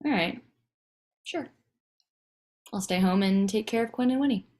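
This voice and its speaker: A woman playing a no-nonsense wife and mother with casual, deadpan delivery in a comedy table read. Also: medium pitch, normal speed, close to an everyday voice. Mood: wry.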